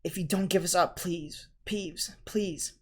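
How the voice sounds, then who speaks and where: Man playing young schoolboy, urgent, pleading, raised pitch, storybook. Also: steady tone, unhurried, darkish tone, husky.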